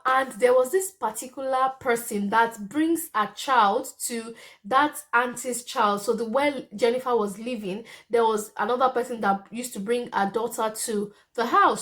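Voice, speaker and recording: Nigerian lady vlogging, yoruba first language, high voice, keeps asking okay?. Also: moderate pace, a bit bright. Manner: chatty, often tags "okay?" to check in. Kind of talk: casual storytelling to camera.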